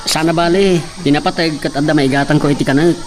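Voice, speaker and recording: A man's voice: a little high, steady tone, fast, a little mellow, natural voice. A man playing a hesitant young city worker. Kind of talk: amateur audio drama acting. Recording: distant mic, faint noise.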